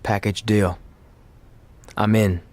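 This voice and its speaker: A man's voice: on the low side, flat delivery, takes it slowly, darkish tone. A man playing a wry, loyal pro wrestler with a dry, teasing manner in a game cutscene. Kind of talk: video game cutscene acting.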